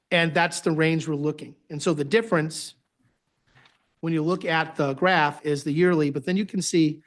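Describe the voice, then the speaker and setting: A man with a Boston accent, giving a conversational presentation to a board at a public meeting, with phrase ends that trail off. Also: a little high. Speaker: agency director presenting to a board.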